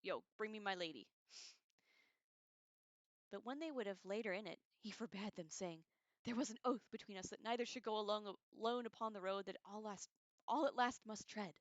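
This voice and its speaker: A woman hosting a casual livestream read-along, expressive and informal, reading aloud and adding chatty, slangy asides. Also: medium pitch, moderate pace, dry recording, dull audio. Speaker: livestream host reading a book aloud with commentary.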